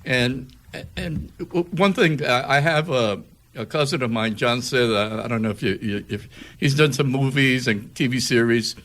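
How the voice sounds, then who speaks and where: A man hosting a casual radio interview, speaking haltingly and often repeating words mid-sentence. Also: mid-range voice, fairly bright tone.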